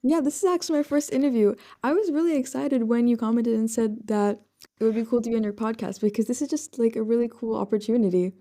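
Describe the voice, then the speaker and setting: A woman's voice: a little high, fairly even intonation, fast talker, mellow. A young woman in her late teens, raised in the Netherlands, answering interview questions shyly and hesitantly over her laptop mic. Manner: shy, hesitant, lots of ums. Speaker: guest singer-songwriter. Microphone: laptop built-in mic.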